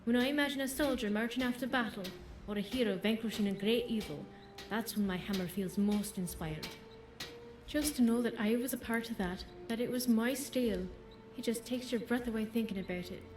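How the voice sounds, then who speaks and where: A woman's voice: fairly high pitch, brisk, over music, lightly acted. A woman voicing a proud, earnest young apprentice blacksmith in a fantasy video game, confident and courteous. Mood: earnest, passionate. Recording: roomy echo, faint background noise.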